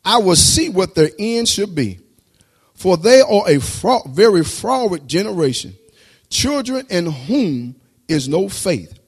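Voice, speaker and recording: A man preaching a sermon, reading scripture slowly before preaching with rising intensity. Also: sing-song, husky. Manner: reads scripture slowly, then preaches rising in pitch and loudness.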